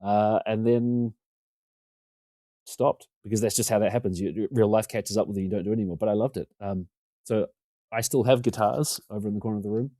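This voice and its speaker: A middle-aged New man talks fast and keeps rambling on, poking fun at himself. His voice sits low and mellow, with a dark, relaxed tone. It sounds dry and close, as on a remote call mic at home. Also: no echo. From New Zealand. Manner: talkative, rambling, self-deprecating. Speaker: podcast guest. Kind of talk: casual conversation. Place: home room.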